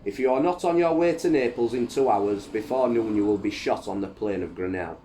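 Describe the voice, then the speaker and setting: Imperious, angry emperor read by a man, low-pitched, barking orders, storybook style, clear background noise. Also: a little fast, mellow, close to an everyday voice. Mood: threatening. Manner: curt, threatening, commanding. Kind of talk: dramatic reading from a history book.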